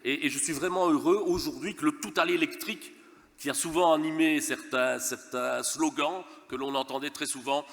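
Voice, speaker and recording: A man's voice: fairly high pitch, steady tone, normal speed, a bit bright, big-room sound. A man from Verviers with a Belgian French accent, nasal from a cold, giving a formal conference speech with light humour and frequent stammered restarts. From Verviers, eastern Wallonia, Belgium. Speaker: speaker from a gas and electricity network company.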